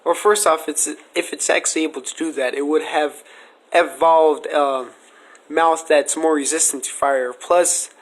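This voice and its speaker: Higher-voiced man hosting a casual podcast. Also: steady tone, measured pace, poor audio. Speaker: podcast host. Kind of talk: casual question-and-answer discussion.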